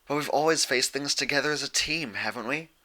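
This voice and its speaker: A man's voice: mid-range voice, a little fast, sharp tone, pitched above the performer's own voice, natural voice. A man voicing an earnest, exasperated young female unicorn pony in a storybook reading, insistent and pleading.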